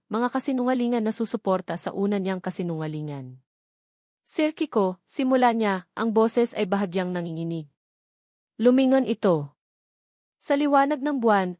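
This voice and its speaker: A woman's voice: medium pitch, a little mellow, dry recording, clean studio sound. A woman reading a scripted, dramatic love story as its narrator.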